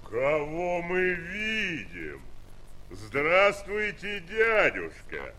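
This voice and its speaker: A man's voice: on the high side, huge pitch swings, pushed and bright, a little hiss or hum, full character voice. An actor playing a good-natured, homely farmer and proud father in a children's audio play. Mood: proud, boastful. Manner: unhurried, homely.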